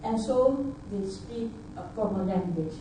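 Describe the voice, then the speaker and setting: A woman whose first language is Dutch, from Suriname, giving a halting personal talk at a conference, often repeating words and filling pauses with uh. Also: mid-range voice, expressive, warm tone, a little hiss or hum. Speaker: conference speaker. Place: large conference hall. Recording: echoey hall, voice picked up from far off.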